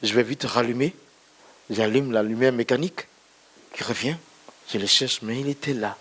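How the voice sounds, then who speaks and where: A man's voice: medium pitch, moderate pace, fairly bright tone. A male pastor whose first language is not French, giving a storytelling testimony sermon in church and speaking straight to his listeners. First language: not French, another mother tongue. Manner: storytelling, addresses listeners directly.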